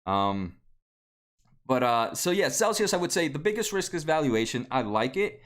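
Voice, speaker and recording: A man's voice: a little high, very animated, moderate pace. Man, late twenties, native Spanish speaker, casual livestream host, rambling with fillers, desk mic. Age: 20s. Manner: rambling, many fillers and restarts. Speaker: stock market livestream host. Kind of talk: casual livestream chat answering viewers. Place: home room at a desk.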